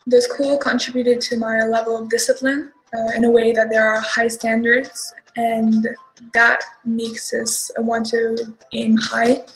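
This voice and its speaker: A young woman in her late teens looks back on her school in a promotional video. Her voice is fairly high and very even, and she sounds steady and composed. Faint music plays underneath. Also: unhurried, rough recording. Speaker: former student.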